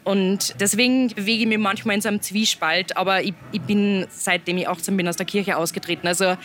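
A young woman from Upper Austria with an Upper Austrian accent, speaking as a musician guest in a relaxed interview, chatty and loose. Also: medium pitch, a little fast, slight background noise. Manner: chatty, loose, laughs between thoughts.

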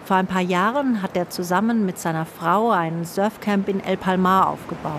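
A woman narrating a radio feature in a calm, personal, questioning way. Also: lowish voice, normal speed, noisy background. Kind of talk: scripted reflective narration. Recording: studio narration over music.

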